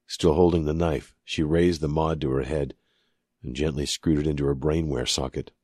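A man's voice: very deep voice, fairly even intonation, normal speed, a little mellow, no echo, clean studio sound. A man narrating scripted fiction as an audiobook reader.

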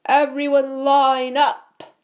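A smug schoolboy bully acted out by a woman reader, scathing and loud. He drawls his contempt with a sneer, every bit the storybook villain. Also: fairly low pitch, slow. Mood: loud mockery.

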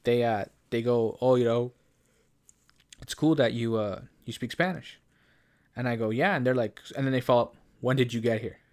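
A man's voice: medium pitch, normal speed, a little mellow. A young Canadian man in casual podcast chat, rambling and laughing as he tells a work story. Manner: rambling, laughs often, restarts stories. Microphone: headphones and studio mic.

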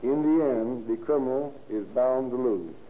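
A man's voice: medium pitch, moderate pace. An American man giving a scripted radio address as a guest lawman, reading stiffly and stumbling over words. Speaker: county sheriff, guest speaker. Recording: tinny, hissy 1930s radio recording.

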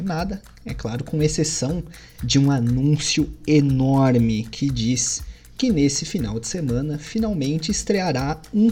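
A game master narrating a tabletop game, relaxed and adding joking asides. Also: medium pitch, slightly rough.

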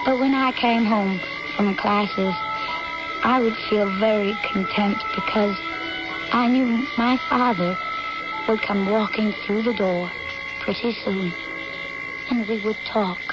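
An actress playing a devoted, needy young daughter in a radio drama, earnest, dreamy and intimate. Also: female voice, mid-range voice, steady tone, takes it slowly, over loud music, natural voice. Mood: wistful. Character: devoted, needy schoolgirl daughter narrating her memories. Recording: noisy, dull old-time radio recording.

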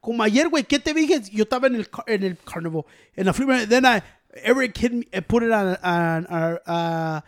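A man chatting as a podcast co-host, fast and profane, switching between Spanish and English. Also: high-pitched, lively intonation, no room sound, studio quality. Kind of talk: casual chat.

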